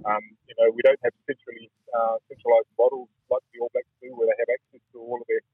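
Brief: New man, radio guest over a tinny phone line, husky, quick and halting, flat delivery. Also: mid-range voice, dry recording. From New Zealand. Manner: halting, restarts often, searching for words. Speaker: rugby guest interviewee. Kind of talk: radio interview.

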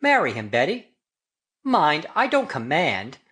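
A woman acts out an earnest older man in a romantic audiobook. He is self-denying yet passionate, solemn in delivery, with a widely swinging, expressive pitch. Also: a little slow, heavily acted. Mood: solemn, pained. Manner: solemn, impassioned. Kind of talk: audiobook character dialogue.

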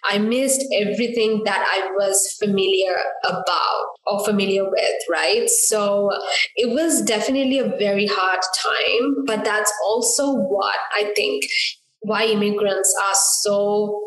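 A woman from Sri Lanka in her thirties, a guest telling her story in a casual interview with lively quoted asides. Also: mid-range voice, measured pace, reverberant, poor audio.

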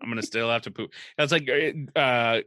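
A man's voice: medium pitch, moderate pace, bright, forceful tone, no echo. A man co-hosting a podcast, joking sarcastically and rambling as he picks apart a movie poster. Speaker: podcast co-host. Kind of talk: casual comedic chat reviewing a movie poster.

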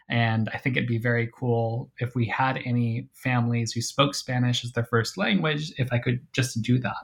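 A man's voice: mid-range voice, vocal fry, a bit bright. Male author guest, mid-range voice, reflective and self-correcting. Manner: thoughtful, with frequent restarts and hedges. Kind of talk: academic interview.

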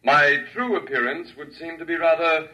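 An actor playing a dignified alien lecturer in the guise of an elder gentleman, speaking formally and grandly in a classic radio drama. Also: on the high side, normal speed. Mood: lofty explaining. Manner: stately, formal, reassuring, grandiose. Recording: distant-miked vintage radio recording.

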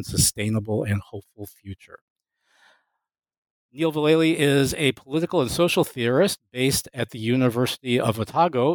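A man hosting an academic book podcast, reading a formal introduction and asking measured questions. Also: mid-range voice, no echo, low-quality recording. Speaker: podcast host. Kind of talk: scripted introduction and interview questions.

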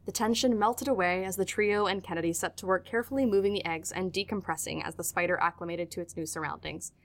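A woman narrating a scripted audio drama in a measured, literary storytelling manner. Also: on the low side, a bit bright.

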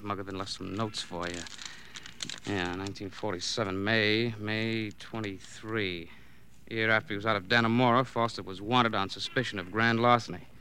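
An actor playing a wry, needling police colleague, talking breezily in an old radio crime drama. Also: male voice, on the low side, bright, forceful tone, a little hiss or hum. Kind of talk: 1940s-50s radio drama acting.

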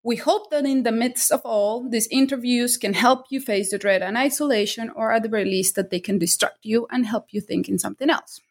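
A woman's voice: fairly high pitch, brisk, hardly any pauses. A woman hosting an interview podcast who reads a formal introduction and asks long, enthusiastic questions.

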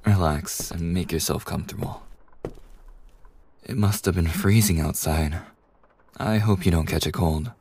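A man playing a kind, polite young bartender in an ASMR roleplay, speaking softly and breathily in a courteous, soothing way with hesitant pauses. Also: deep voice, noisy background. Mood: soothing, attentive. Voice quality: soft, breathy. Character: kind, polite young male bartender, gently attentive university student. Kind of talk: ASMR roleplay, soft intimate scripted acting.

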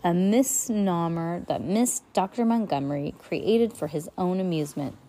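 A woman voicing a vain, scheming male villain who speaks smoothly and calmly. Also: lowish voice, normal speed, close to an everyday voice. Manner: smooth and soothing.

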